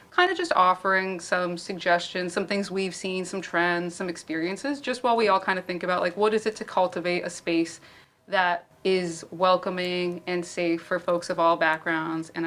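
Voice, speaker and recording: A woman's voice: fairly low pitch, fairly bright tone. A woman speaking casually and informally as the moderator of a panel at a public event. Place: public library event space.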